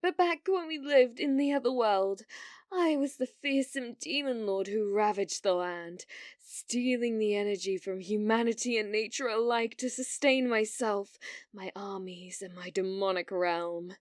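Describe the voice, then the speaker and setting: A woman's voice: medium pitch, measured pace, dry recording, studio quality, lightly acted. A woman playing a delusional, obsessive young woman who believes she is a reincarnated demon lord, speaking in grand, archaic phrases in an ASMR roleplay. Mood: proud, grandiose. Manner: grandiose, archaic, theatrical phrasing with flustered stammers.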